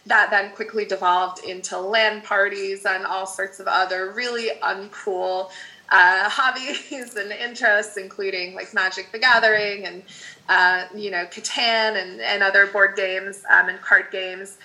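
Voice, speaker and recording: A woman's voice: mid-range voice, fairly even intonation, unhurried, sharp tone, low-quality recording. A woman in her thirties, a native Dutch speaker raised in the Netherlands, chatting candidly as a podcast guest and laughing often. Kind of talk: casual podcast interview.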